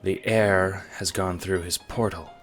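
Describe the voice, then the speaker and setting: A man voicing a stern, commanding queen in low, clipped, husky tones, in a fan audio drama. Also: steady tone, measured pace, faint music behind.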